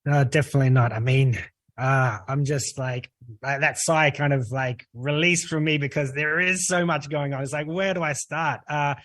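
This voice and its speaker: A man with an Australian accent, a guest on a talk show, speaking earnestly and relaxed, with many "uh" fillers. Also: fairly high pitch, moderate pace, no echo. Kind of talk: podcast interview.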